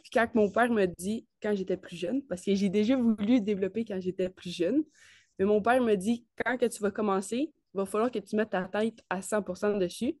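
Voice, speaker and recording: A teenage woman, about nineteen, with a Quebec French accent and a medium-pitched voice. She chats in an enthusiastic, colloquial way as an interview guest, recorded with very little room sound. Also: normal speed, mellow, no echo, studio quality. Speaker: interview guest, network marketer. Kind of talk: casual chat.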